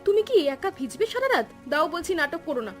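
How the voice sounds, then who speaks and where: A woman voicing a practical, caring village wife in a Bengali cartoon story, brisk and affectionately scolding. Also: extremely high pitch, big pitch swings, soft background music. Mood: scolding, worried.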